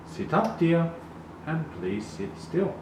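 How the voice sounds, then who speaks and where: A man voicing a fussy, patient, gently bossy mother frog in a storybook, coaxing and instructing. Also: on the high side, very animated, slow pace, voice pushed up. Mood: scolding. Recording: very echoey, distant, noisy room.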